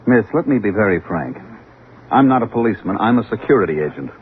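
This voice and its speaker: A man plays an authoritative security agent in an old radio play. He is brisk, insistent and official, recorded thin and tinny with faint noise. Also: fairly low pitch, animated, natural voice. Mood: stern interrogation. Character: stern, authoritative male government security agent. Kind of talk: vintage radio drama acting.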